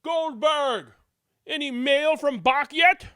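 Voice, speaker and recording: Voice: sits high, slow, fairly bright tone, no echo, clean studio sound, pitched above the performer's own voice. A reader voicing a grumpy, sleepless rich man with a whiny, demanding manner. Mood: impatient.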